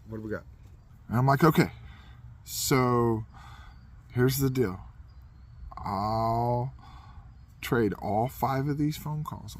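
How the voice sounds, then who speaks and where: A man's voice: medium pitch, a bit bright, slightly rough. A man casually telling a comedic anecdote in a rambling, self-deprecating way, as a podcast host would.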